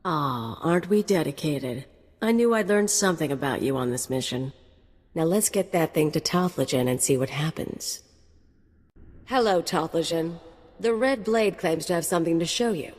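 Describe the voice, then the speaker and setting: A mercenary woman needles and teases in a lowish, wry voice. A long reverb trails her lines. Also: normal speed, a little mellow. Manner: teasing, wry. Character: teasing, mercenary young woman. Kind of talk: video game cut-scene acting.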